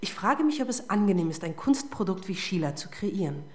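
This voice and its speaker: A woman's voice: medium pitch, very animated, brisk, lightly acted. An actress playing a sardonic, persistent police detective in a radio crime drama, dry and pointed in her questioning. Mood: dry, sarcastic.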